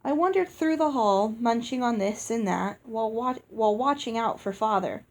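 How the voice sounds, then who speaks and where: A woman's voice: a little high, moderate pace. A woman narrating a children's fantasy novel aloud in the first person, as a storybook reader. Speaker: audiobook reader.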